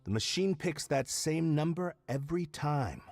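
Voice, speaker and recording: A man's voice: on the high side, lively intonation, a little slow, vocal fry, darkish tone. A man voicing a mildly scoffing member of an investigation team in a video game. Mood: explanatory. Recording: faint music behind the voice.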